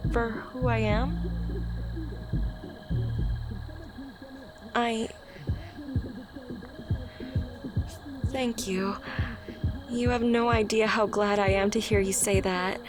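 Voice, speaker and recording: A woman playing a shy, socially awkward young friend in a romantic ASMR roleplay, speaking softly and hesitantly with stammers, tender and easily flustered. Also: fairly high pitch. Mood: flustered, disbelieving. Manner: soft, hesitant, stammering, sighing. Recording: prominent music behind the voice. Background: music.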